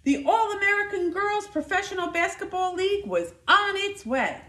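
High-pitched, animated woman reading a storybook. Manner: expressive, deliberate, emphatic storytelling for children. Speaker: school nurse reading aloud to children. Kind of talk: animated children's picture book read-aloud. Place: living room by a fireplace, indoors. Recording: roomy, echoing indoor sound.

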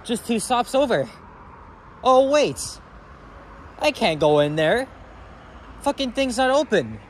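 A man's voice: very high-pitched, very animated, slow pace. A young man rambling casually as he vlogs on a walk outdoors with a handheld camera. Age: young adult. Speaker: vlogger. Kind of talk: casual walking vlog. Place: outdoors on a city sidewalk. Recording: outdoor street traffic noise. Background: cars and street ambience.

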